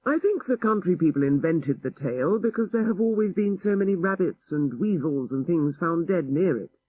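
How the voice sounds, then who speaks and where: A man's voice: on the high side, lively intonation, moderate pace, mellow, breathy edge, highs cut off, pitched above the performer's own voice. A man voicing an earnest young country-house owner in an audiobook story, well-mannered, explanatory and sceptical. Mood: dismissive, amused.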